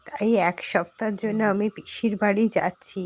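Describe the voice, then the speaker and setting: A woman's voice: mid-range voice, steady tone, moderate pace, close to an everyday voice. A woman playing a worried, caring middle-aged mother who cautions her grown son in a horror cartoon. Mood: caring, concerned. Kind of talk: Bengali horror cartoon dialogue. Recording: faint noise with chirping crickets.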